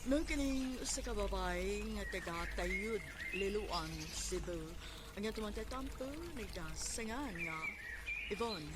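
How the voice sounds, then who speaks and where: A woman's voice: medium pitch, animated, measured pace. A woman with a Cebuano Filipino accent reading scripted narration as a radio drama announcer. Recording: noisy radio broadcast recording.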